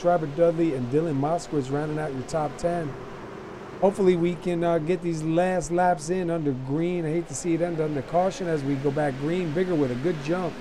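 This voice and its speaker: A man doing play-by-play commentary on a simulated dirt race, a little hoarse from being sick, with many fillers and bursts of excitement. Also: on the high side, background noise. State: losing his voice after being sick. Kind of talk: sim racing commentary.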